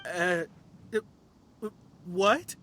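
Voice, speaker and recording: Timid, slow-speaking townswoman. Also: on the low side, fairly bright tone, a little hiss or hum. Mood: bewildered. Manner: hesitant, earnest. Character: timid, superstitious, polite young townswoman. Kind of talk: anime-style game voice acting. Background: background music.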